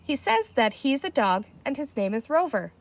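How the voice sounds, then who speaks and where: Woman as an earnest, high-voiced little green man. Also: very animated, normal speed. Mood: serious. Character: serious, matter-of-fact little green man, interpreter.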